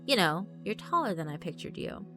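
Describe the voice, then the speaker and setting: Woman voicing a smooth, formal male corporate assistant. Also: on the low side, big pitch swings, a little fast. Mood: amused. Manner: polite, businesslike, confident. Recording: background music under the voice.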